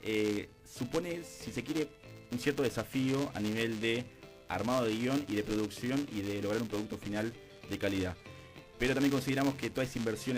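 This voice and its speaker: Male radio guest, Argentine accent, lower register, measured explanatory conversation over a faint music bed. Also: poor audio. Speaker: radio drama producer and guest.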